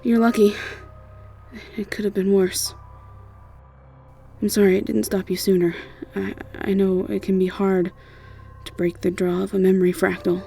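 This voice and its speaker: A woman's voice: medium pitch, level tone, moderate pace, vocal fry, long pauses, dark tone, soft background music, natural voice. A woman voicing a caring, guilt-ridden young woman mentor in an audio roleplay, earnest and apologetic. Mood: gentle concern.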